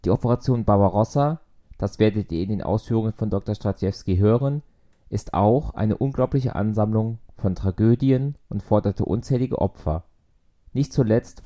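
A male podcast host delivers a scripted introduction in an earnest, composed way. His voice sits low and very dark. He sounds mellow and unhurried.

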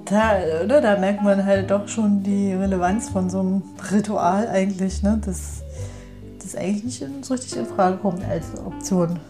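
A woman's voice: medium pitch, steady tone, normal speed. A woman talking casually as a guest in a conversation, with a mid-range voice. She often seeks agreement with small tag questions, and music runs behind her. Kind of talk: casual chat.

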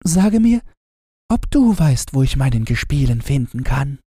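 A man's voice: medium pitch, very animated, a little slow, mellow, breathy edge, no echo, clean studio sound. A man voicing a sad, innocent little girl in a fairy tale, with plaintive, childlike questioning. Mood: hopeful pleading.

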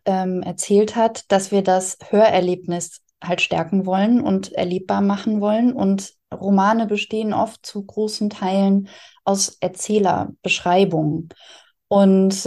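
A woman's voice: medium pitch, dark tone. A woman explaining things conversationally as an interview guest and author.

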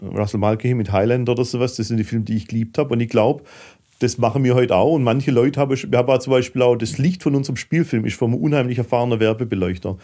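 A man's voice: mid-range voice, quick pace, dark tone. A middle-aged man from southwestern Germany talking candidly and colloquially as a guest in a casual podcast interview. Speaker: guest, filmmaker and managing director.

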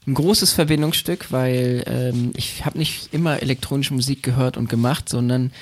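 A man, a guest DJ chatting in a relaxed way with lots of fillers and restarts. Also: medium pitch, moderate pace. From Berlin, Germany. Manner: hesitant, many fillers and restarts, laughs easily. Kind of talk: relaxed conversational podcast chat.

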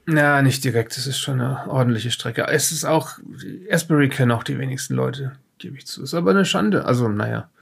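An actor playing a peevish, well-off scholar who survived a flood, speaking hesitantly and fussily in an improvised audio drama. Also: male voice, mid-range voice, lively intonation, a little fast, gravelly, hardly any pauses, slightly rough. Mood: wistful, hesitant. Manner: hesitant, fussy, snobbish.

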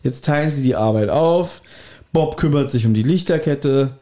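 A man's voice: mid-range voice, moderate pace, warm tone. A man hosting a podcast, giving a casual recap partly read from his notes, with playful irony. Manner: playfully ironic. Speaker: podcast host.

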